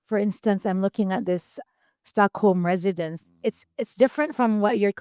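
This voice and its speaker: A woman's voice: medium pitch, moderate pace, a little mellow, clean tone, dry recording, studio quality. A woman hosting a podcast, asking curious follow-up questions. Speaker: podcast host, interviewer. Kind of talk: interview questions.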